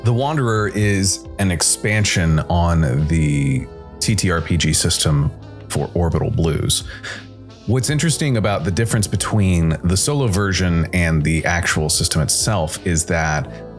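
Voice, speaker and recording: Low-pitched man hosting a podcast over music. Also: expressive, unhurried. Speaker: podcast host. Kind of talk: conversational solo game review.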